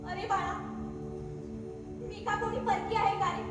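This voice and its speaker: A young female student actor with a Marathi accent playing a weary, put-upon wife, sharp at times and sorrowful, on a theatre stage. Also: very high-pitched, steady tone, a little slow. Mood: pleading. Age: young adult. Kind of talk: live stage acting. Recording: echoey hall from far off with music.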